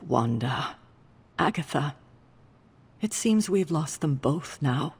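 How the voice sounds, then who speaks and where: A man playing a weary, regretful older mentor in a video game, somber and measured, with a husky voice. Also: medium pitch, full character voice. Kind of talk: video game cutscene acting.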